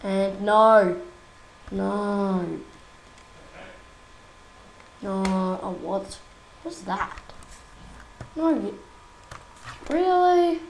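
A young woman talks over her game in an unhurried, giggly way, her voice croaky from a cold. She sounds easily exasperated and chatty, recorded in a roomy space with a faint hiss behind her. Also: medium pitch. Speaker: gaming YouTuber. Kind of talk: casual gameplay commentary.

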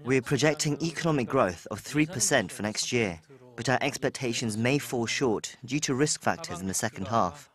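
A man's voice: medium pitch, animated, moderate pace. A man reading a scripted translation as a news voice-over.

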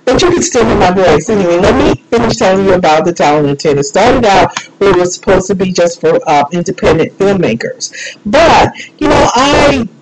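Female live radio host, mid-pitched, informal and playful, rambling freely with self-deprecating asides. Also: lively intonation, fairly quick, very noisy.

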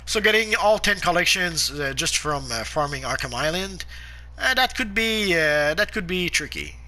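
Casting: man, sharp bright tone, sniffly sore throat, halting game-guide chatter with lots of "uh". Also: fairly high pitch, animated. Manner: halting, frequent "uh" fillers and restarts. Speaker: gameplay guide narrator. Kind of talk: casual game commentary.

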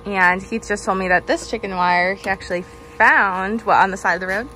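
A woman's voice: mid-range voice, brisk, sharp tone, low-quality recording. A woman chatting upbeat while filming a home vlog in her backyard on a phone. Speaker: vlogger. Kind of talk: casual home vlog narration. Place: outdoors in a backyard. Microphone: handheld phone camera. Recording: background music bed.

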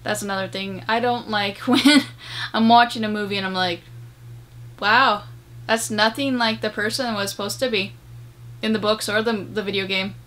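A woman's voice: medium pitch, steady tone, normal speed, a bit bright. A young adult woman giving a casual, emphatic movie review to camera in a room at home. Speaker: video host and game reviewer. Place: small room at home, indoors.

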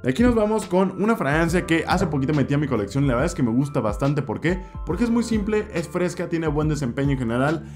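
A man's voice: on the high side, expressive, fast talker, few pauses, darkish tone, soft background music. A young man in his twenties with a Mexican Spanish accent, casually talking through perfume picks in a vlog, repeating words for emphasis. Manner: chatty, gestures a lot, repeats words for emphasis. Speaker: fragrance reviewer. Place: indoor room with shelves of perfume bottles. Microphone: desk mic in front, camera at mid distance.

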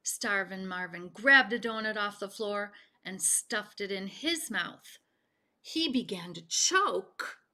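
A middle-aged woman reading a children's story aloud with deliberate, dramatic expression. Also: mid-range voice, expressive, sharp tone. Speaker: picture book reader. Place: home kitchen, indoors.

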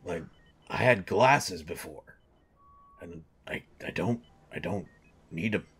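A man's voice: deep voice, animated, fairly bright tone, breathy edge, full character voice. A man voicing a grumpy coach turning monstrous. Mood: uneasy. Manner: halting, hesitant, repeating himself. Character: gruff male sports coach turning into a hungry monster. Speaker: audio drama voice actor. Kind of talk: audio roleplay monologue.

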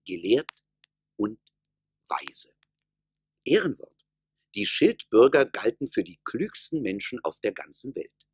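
Husky, lowish male storybook narrator. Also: no room sound, studio quality. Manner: measured, wry, expressive storyteller. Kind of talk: scripted fairy-tale narration for a radio play.

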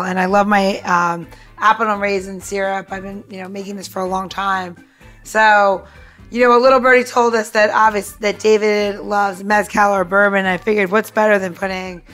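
A woman's voice: medium pitch, a bit bright. A woman co-hosting a podcast as bartender, casually talking listeners through a cocktail demonstration in a chatty way. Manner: chatty, talks the listener through each step.

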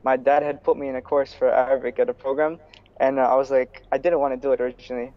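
A young man from New York, a student guest in a casual video-call interview, talking in a relaxed way with many fillers and restarts. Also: mid-range voice, fast. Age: young adult. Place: small room indoors. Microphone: earphone headset on video call. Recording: slightly dull video call audio.

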